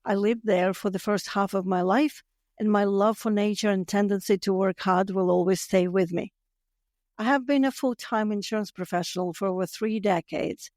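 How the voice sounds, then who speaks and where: A woman in her fifties from Latvia with a Latvian accent, hosting a podcast in a measured, carefully read monologue. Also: medium pitch, fairly even intonation, darkish tone, dry recording, clean studio sound. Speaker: podcast host. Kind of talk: scripted solo podcast monologue.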